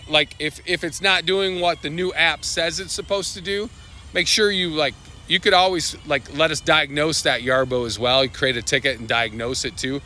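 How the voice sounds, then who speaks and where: A man's voice: medium pitch, sharp tone, a little hiss or hum. A man hosting a casual live walkthrough of product updates, talking loosely with lots of fillers and restarts. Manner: loose and rambling, with many fillers, restarts and asides. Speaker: livestream host presenting product updates.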